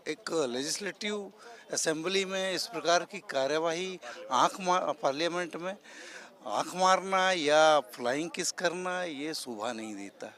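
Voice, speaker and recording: Guy being interviewed, voice a bit high, formal measured way of giving his opinion. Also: a bit bright.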